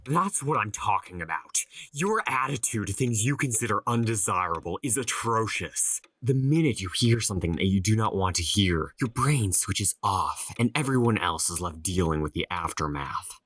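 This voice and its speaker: A man's voice: fairly low pitch, animated, normal speed, hardly any pauses, fairly bright tone, dry recording. A man playing a stern, condescending office boss in an audio drama, speaking in a scolding, lecturing manner. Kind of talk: audio horror drama acting.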